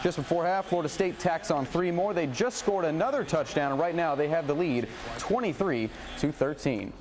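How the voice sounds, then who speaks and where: A man working as a TV sports anchor, calling highlights in a punchy, colloquial, exclamatory way. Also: expressive, moderate pace, background noise. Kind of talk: highlights commentary and scripted sportscast. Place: TV studio, voice-over to highlights.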